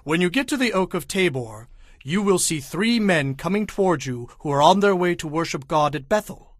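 A high-voiced, solemn prophet. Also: male voice, expressive, moderate pace, no echo, studio quality. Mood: solemn, instructing. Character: Samuel, solemn commanding old male prophet. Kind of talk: dramatized audio Bible acting.

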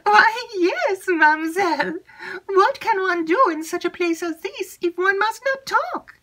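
A woman voicing an excitable, gossipy young female servant who chatters breathlessly. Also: very high voice, measured pace, voice pushed up, heavily acted. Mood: excited.

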